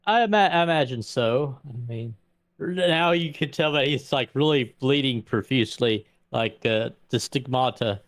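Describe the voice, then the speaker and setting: A man casually narrating a tabletop game in a halting, informal way. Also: medium pitch.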